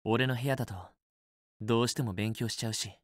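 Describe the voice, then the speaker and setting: Man acting a young student boyfriend, romance game character, possessive, teasing, softly coaxing, dry close recording. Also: mid-range voice, fairly even intonation, a little fast, no echo, natural voice. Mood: affectionate, coaxing. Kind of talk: Japanese otome game voice acting, intimate romantic dialogue.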